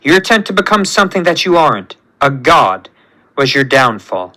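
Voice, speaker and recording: A man's voice: big pitch swings, sharp tone. Man playing a solemn, righteous superhuman rebel. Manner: low, measured, earnest. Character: solemn, righteous young male superhuman rebelling against creator.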